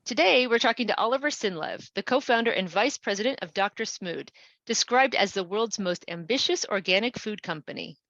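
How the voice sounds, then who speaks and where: A woman's voice: mid-range voice, expressive, fairly quick, a bit bright, no echo. Woman podcast host, dull dry recording. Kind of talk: scripted podcast introduction and interview questions.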